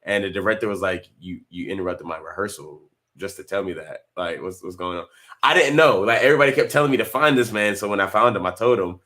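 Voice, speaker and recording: A man, chatting as an interview guest in a relaxed way with many fillers and restarts. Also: deep voice, fast. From North Carolina. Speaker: guest actor. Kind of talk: casual interview.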